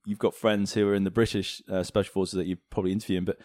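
A man from Hong Kong chatting casually as an interview guest, telling anecdotes in an animated way. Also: low voice, very fast, no echo, studio quality. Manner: animated, chuckles as he tells anecdotes. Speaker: interview guest, former fighter pilot. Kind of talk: casual storytelling in a podcast interview.

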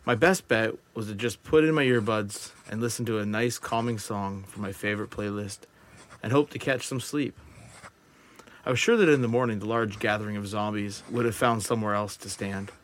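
A man playing a wary, detached survivor of an apocalypse, narrating a journal in a deliberate, reflective audio drama style. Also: fairly low pitch, slight background noise, natural voice. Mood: uneasy, reflective. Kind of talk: scripted first-person dramatic narration.

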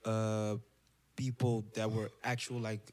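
A man's voice: on the low side, level tone, takes it slowly, a little mellow. A young man from the Netherlands with a Dutch accent, a podcast guest speaking English as a second language, thoughtful and searching for words. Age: young adult. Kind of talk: casual conversation.

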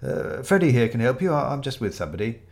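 A man's voice: medium pitch, very animated, fast talker, vocal fry, darkish tone. A man voicing a timid, polite tree seller in a story reading, stammering and apologetic. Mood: flustered.

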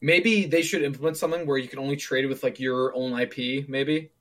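A man's voice: mid-range voice, steady tone, brisk, a bit bright. A man in his twenties chatting casually about gaming, rambling with lots of fillers and restarts. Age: 20s. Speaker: streamer.

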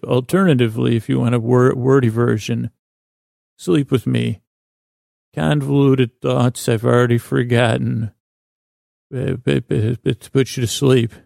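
A man with a creaky voice hosting a bedtime podcast, meandering and halting in a lulling, self-deprecating monologue. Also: medium pitch, darkish tone, no echo, clean studio sound. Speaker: podcast host.

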